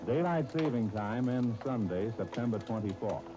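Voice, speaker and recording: A man's voice: medium pitch, animated, normal speed, over music, rough recording. A man reading scripted commercials and announcements as a 1930s radio announcer, on a vintage broadcast disc.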